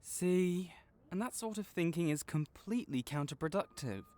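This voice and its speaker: Man playing a gentle, reassuring magical-creature vet. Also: high-pitched, lively intonation, normal speed. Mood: firm, reassuring. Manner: gentle, encouraging, patient explaining. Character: kind, reassuring male veterinarian for magical creatures. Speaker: voice actor in audio roleplay. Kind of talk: comforting audio roleplay, one-sided conversation.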